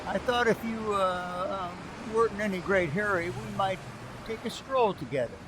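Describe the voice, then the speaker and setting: A man's voice: sits high, normal speed, noisy background, close to an everyday voice. A man playing a genial, patronizing older businessman in a vintage-style radio comedy, stammering and hesitant in an avuncular way. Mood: casual, tentative.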